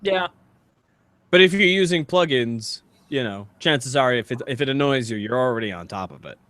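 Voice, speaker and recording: A man's voice: mid-range voice, lively intonation, moderate pace, a bit bright. A man chatting and bantering casually as a podcast co-host.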